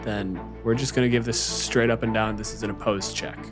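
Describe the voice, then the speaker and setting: A man's voice: on the low side, fairly even intonation, brisk. A man narrating an improvised tabletop roleplaying game as game master, in a casual, sweary way. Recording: music playing behind the voice. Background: background music.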